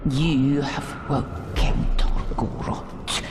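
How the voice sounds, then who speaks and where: A woman's voice: very deep voice, fairly even intonation, drawn-out words, exaggerated voice. A woman voicing an ancient, wrathful forest spirit in a fantasy game, chanting solemn, cryptic riddles and commands. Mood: wrathful, foreboding. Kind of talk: cinematic fantasy game acting. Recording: echoey hall reverb under loud music.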